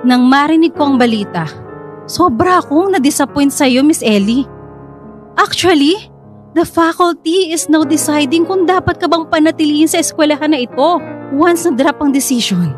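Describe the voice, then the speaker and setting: A woman's voice: high voice, expressive, moderate pace, soft background music. A woman playing a stern, disappointed school principal in a radio melodrama, formal and reproachful. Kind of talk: melodramatic radio drama acting.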